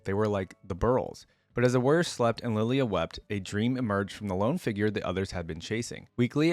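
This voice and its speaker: A man's voice: fairly low pitch, no echo. A man hosting a reaction channel, talking casually and reading aloud as he comments. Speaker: host of a game lore reaction channel.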